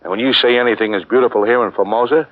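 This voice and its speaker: An actor playing a relaxed American traveler in a 1940s radio drama, casual and chatty. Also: male voice, medium pitch, very fast, lightly acted. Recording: muffled 1940s radio broadcast.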